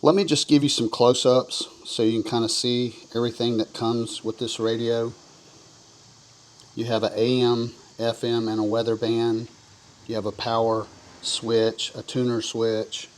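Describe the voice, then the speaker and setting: A man's voice: mid-range voice, level tone, a little slow, low-quality recording. Male American product reviewer, casual hands-on demo delivery, some faint background noise. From coastal North Carolina, US. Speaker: product reviewer presenting to camera.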